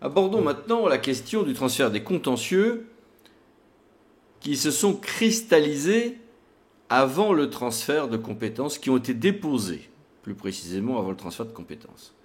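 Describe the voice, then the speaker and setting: A man's voice: medium pitch, big pitch swings, normal speed. A man presenting a legal tutorial in a didactic, emphatic way, like a lawyer explaining case law to an audience.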